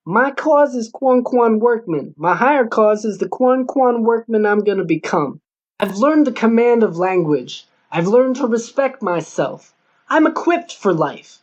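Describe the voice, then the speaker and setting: Guy playing a self-assured ambitious young student guy in an audiobook, full of himself, dull lofi audio. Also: sits high. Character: ambitious, self-important young male student cult member. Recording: dull lo-fi recording.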